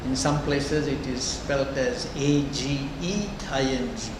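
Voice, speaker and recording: An older man lectures in a very reverberant room, picked up from a distance over loud background noise. He talks deliberately and conversationally, putting questions to his students. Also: mid-range voice. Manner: deliberate, conversational, checks in often with "okay" and "huh". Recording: echoey lecture room, distant mic, noisy.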